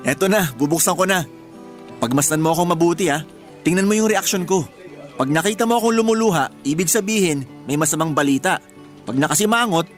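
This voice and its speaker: An actor playing a hot-headed, joking husband with a Filipino accent in animated, colloquial radio drama dialogue. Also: male voice, fairly high pitch, close to an everyday voice. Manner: animated, colloquial, exasperated or teasing.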